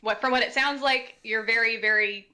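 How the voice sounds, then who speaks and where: A woman's voice: on the high side, fairly even intonation, normal speed, sharp tone. A woman speaking unscripted on a commentary panel, earnest and a little tentative, correcting herself as she goes.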